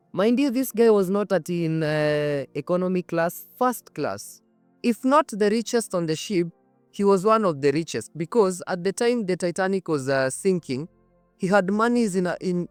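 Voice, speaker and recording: A man's voice: sits high, no room sound. A man with a Kenyan accent hosting a casual, dramatic storytelling show, speaking conversationally and emphatically and repeating phrases for effect. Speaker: storytelling host.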